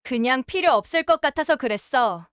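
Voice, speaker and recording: A woman acting as a defensive wife in a family audio drama. Her voice is quite high, she offers evasive excuses, and the sound is very dry. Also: expressive, moderate pace, a bit bright, clean tone, no echo. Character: defensive daughter-in-law making excuses to her husband. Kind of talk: dramatized family story dialogue.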